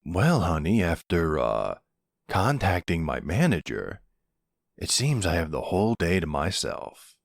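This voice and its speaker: Man playing a deep, smug young mafia boss. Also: very animated, creaky, dry recording, studio quality, heavily acted. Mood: smug, playful. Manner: teasing, unhurried, smug. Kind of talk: ASMR boyfriend roleplay acting.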